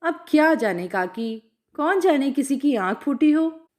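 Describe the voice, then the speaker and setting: A woman's voice: high voice, lively intonation, measured pace, darkish tone. A woman voicing a humble, kindly young village mother with deferential, rustic phrasing in a storytelling reading. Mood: worried.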